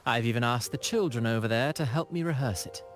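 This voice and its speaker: An actor playing a courtly, theatrical playwright in a video game, speaking in ornate, pompous phrases with dramatic pauses. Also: male voice, mid-range voice, soft background music, close to an everyday voice. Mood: grandiose, musing. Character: theatrical, courtly, flowery male playwright with grand airs. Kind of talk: video game character acting.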